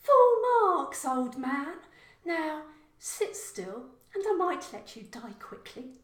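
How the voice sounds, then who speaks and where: A woman's voice: high-pitched, big pitch swings, takes it slowly, roomy sound, voice pushed up, full character voice. A woman voicing an aggressive, sneering young female neighbour in a story, snappish and menacing.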